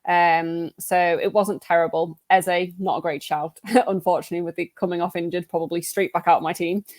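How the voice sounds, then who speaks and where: A woman guest on a football fantasy podcast, talking in a relaxed, self-deprecating way with many "um"s. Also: on the low side, fairly quick, no echo. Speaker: guest analyst. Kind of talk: casual chat.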